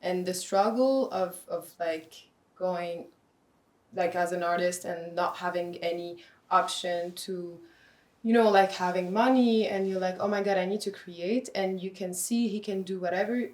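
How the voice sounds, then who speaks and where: A thoughtful woman talks on a podcast in a fairly low voice, softly feeling her way toward each idea. She hesitates and restarts as she reaches for the right words. Her voice carries a roomy echo. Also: fairly even intonation, a little slow. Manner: thoughtful, soft, searching for words. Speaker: art advisor, podcast guest. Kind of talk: casual podcast conversation. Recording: roomy echoey room sound.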